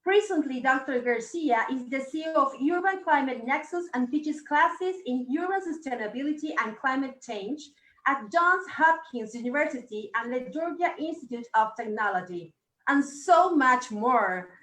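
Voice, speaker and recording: A woman's voice: high voice, lively intonation, moderate pace, fairly bright tone. Effusive woman host in an echoey room. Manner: effusive, religious blessings. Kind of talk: live talk show hosting.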